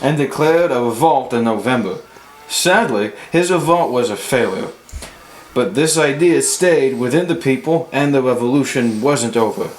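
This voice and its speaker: A man's voice: mid-range voice, expressive, normal speed. A man working as narrator, reading a scripted amateur history account. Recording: echoey room with faint noise.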